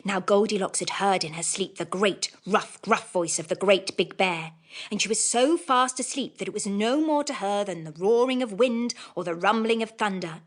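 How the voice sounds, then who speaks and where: A woman narrating a children's audiobook as scripted storytelling, in an expressive manner. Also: mid-range voice, moderate pace.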